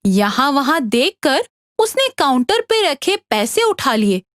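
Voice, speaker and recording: A woman narrating a scripted story for an animated tale. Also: sits high, no echo, clean studio sound.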